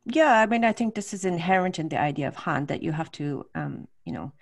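A middle-aged woman with a low voice speaks as a guest lecturer taking questions on a video call. She thinks aloud, often searching for the right word in an informal way. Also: expressive, a little fast, creaky. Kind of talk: informal question-and-answer at an online talk. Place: home room with bookshelves, on a video call. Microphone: large desk microphone.

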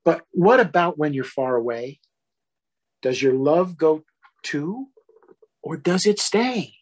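Small anxious fox kid done by a man, storybook, plaintive questions, animated pitch, husky edge. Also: slow pace. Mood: anxious, questioning. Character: small grumpy child fox, anxious for love.

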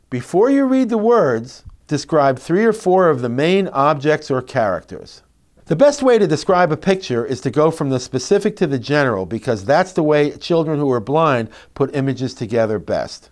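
A middle-aged man presenting instructional tips to parents in an expressive, emphatic, clearly phrased way. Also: on the high side. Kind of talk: instructional narration to parents, with expressive read-aloud demonstrations. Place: indoor classroom. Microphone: lapel mic.

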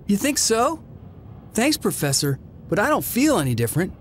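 A woman's voice: mid-range voice, big pitch swings, moderate pace, slight background noise, close to an everyday voice. A woman voicing a plucky, earnest young boy hero in video game cutscenes. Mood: earnest, modest. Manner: earnest, youthful. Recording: game music behind voice.

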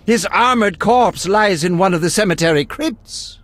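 High-pitched eccentric alchemist. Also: male voice, normal speed, a bit bright, no echo. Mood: pedantic, relishing. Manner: erudite, precise, relishing grisly detail. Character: eccentric, morbidly curious male alchemist scholar. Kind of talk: video game dialogue acting.

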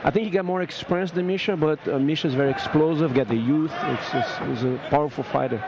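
A man commentating a grappling match as guest, explaining moves calmly with frequent fillers. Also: fairly high pitch, fast. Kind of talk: live fight commentary. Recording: noisy arena with crowd.

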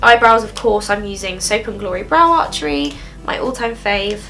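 A woman's voice: medium pitch, lively intonation, normal speed, a bit bright, soft background music. A young woman chatting through a makeup tutorial in her bedroom, rambling casually with lots of "um", a little nasal from a cold. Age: young adult. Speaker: beauty vlogger. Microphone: camera in front at close range.

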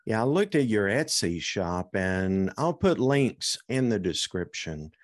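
A man hosting a podcast interview, asking questions in a deliberate way into a studio mic. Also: fairly low pitch, big pitch swings. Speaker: podcast host. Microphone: studio mic with headset.

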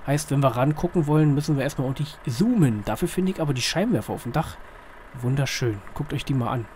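A man with a slightly hoarse, mid-range voice chats his way through a driving game. He rambles colloquially and puts small questions to himself. Vehicle engine noise runs behind him. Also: medium pitch, animated, fast, a little mellow. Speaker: gaming video host. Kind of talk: casual chatty driving-game commentary. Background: truck simulator engine sound.

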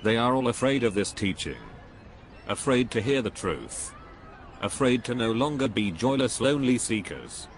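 A man's voice: mid-range voice, very animated, normal speed, slight background noise. A man playing a smug, joyless spiritual know-it-all who preaches in a pompous, dismissive way in scripted cartoon dialogue. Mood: grandiose, preachy.

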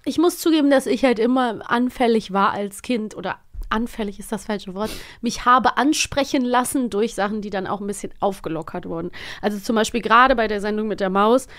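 A woman's voice: medium pitch, brisk, fairly bright tone. A woman in her thirties co-hosting a podcast in casual chat, chatty and laughing often, sometimes losing her thread, on a studio mic. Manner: chatty, laughs often, trails off mid-thought. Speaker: podcast co-host.

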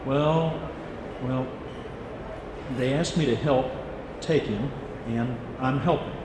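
An actor playing an earnest, stubborn writer in a radio drama, plain-spoken and terse. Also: male voice, medium pitch, slow, noisy background, close to an everyday voice. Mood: defensive, frustrated. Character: earnest, stubborn American writer, narrating his own story. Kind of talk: radio drama acting and first-person narration.

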